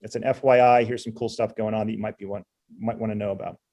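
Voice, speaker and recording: A man's voice: on the low side, steady tone, fast, vocal fry, dark tone. A middle-aged man hosting a casual business webinar over a video call, chatty and easygoing with many fillers. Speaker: webinar host.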